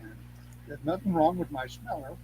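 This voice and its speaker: Older man, higher-pitched, noisy recording. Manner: folksy, salty humour; halting, repeats phrases. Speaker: guest, Craft elder and teacher. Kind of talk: rambling anecdotal interview talk. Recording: clearly noisy background hum.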